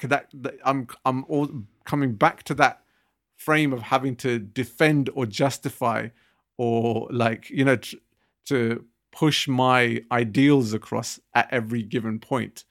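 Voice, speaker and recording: Earnest man with gravelly voice. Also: mid-range voice. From Britain. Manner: earnest, with many fillers and restarts. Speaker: podcast co-host. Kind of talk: casual podcast discussion.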